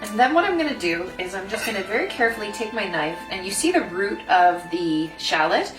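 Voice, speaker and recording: A woman's voice: medium pitch, very animated, fairly quick. Echoey woman presenting a cooking demo. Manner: instructional, step-by-step. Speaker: food designer and cooking host. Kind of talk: cooking demonstration to camera. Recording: roomy echo, distant mic, music behind.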